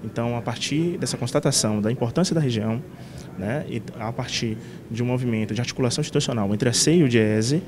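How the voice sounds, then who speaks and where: A man's voice: mid-range voice, fast talker, crowd noise. A man, a research director answering an interview on a handheld mic, fluent and official. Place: meeting room indoors. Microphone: handheld reporter mic.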